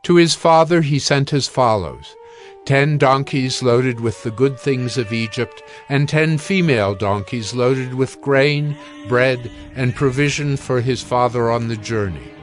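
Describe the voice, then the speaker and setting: A man narrating scripture in a measured, solemn reading. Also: mid-range voice, soft background music.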